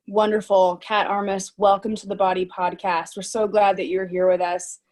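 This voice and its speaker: A woman hosting a podcast, asking interview questions in a measured, appreciative way. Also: medium pitch, level tone, a little mellow. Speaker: podcast host.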